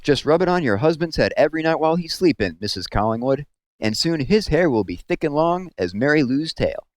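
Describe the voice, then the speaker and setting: A man reads the part of an easygoing young country peddler in a storybook. He pitches his cures with folksy, earnest confidence. The sound is dry and free of room echo. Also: very animated, brisk, a little mellow. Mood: cheerful pitch.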